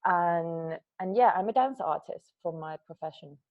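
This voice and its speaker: Finnish woman, low voice, hesitant speech. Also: moderate pace, narrow, tinny audio. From Finland. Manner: hesitant, repeats words while thinking. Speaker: dance artist. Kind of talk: interview answers.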